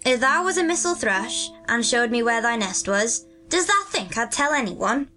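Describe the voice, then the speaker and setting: A woman's voice: on the high side, expressive, moderate pace, pushed and bright, faint music behind, voice pushed up. A woman reading an audiobook voices a cheerful, kindly country boy with a broad Yorkshire dialect and a hearty manner. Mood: friendly.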